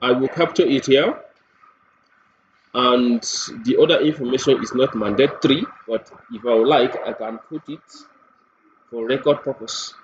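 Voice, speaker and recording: A man presents a software demo step by step, deliberately, repeating phrases and posing questions to himself as he goes. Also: mid-range voice. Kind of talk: step-by-step screen tutorial narration. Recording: dull lo-fi mic with clear background noise.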